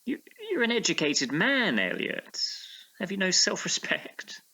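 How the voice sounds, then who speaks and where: An actor playing a sceptical, proper gentleman friend with a stammering, polite, incredulous manner in a period audio drama. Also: high-pitched, sing-song, a little slow, gravelly, heavily acted, low-quality recording. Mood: incredulous, scornful.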